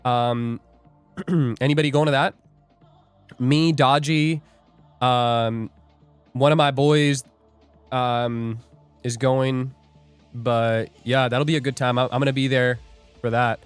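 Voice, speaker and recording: A man's voice: medium pitch, fairly even intonation, slow pace, long pauses, clean tone, no room sound, soft background music. A young man hosting a live trading stream, joking and rambling as he reads viewer comments aloud. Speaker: livestream day trader host. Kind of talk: casual livestream chat.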